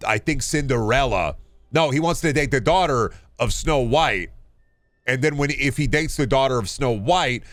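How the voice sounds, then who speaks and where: A man with a mid-pitched voice talks casually, as a streamer does to his chat. He rambles along haltingly, circling back and repeating his phrases. The sound is dry, with no room echo. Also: fairly bright tone. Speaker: video game streamer.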